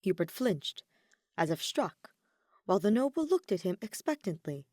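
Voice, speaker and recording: A woman's voice: mid-range voice, big pitch swings, normal speed, warm tone, no room sound, clean studio sound. A woman reading scripted fiction aloud as a story narrator.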